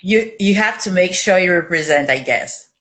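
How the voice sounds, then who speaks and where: Low-voiced woman hosting a chat. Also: animated, normal speed, fairly bright tone, slight background noise. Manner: chatty, laughs often, stumbles over words. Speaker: host. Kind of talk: casual interview chat.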